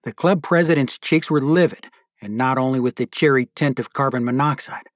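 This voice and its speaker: A man's voice: medium pitch, fairly quick, no echo, clean studio sound. A man giving a scripted narration as an audiobook narrator and podcast host.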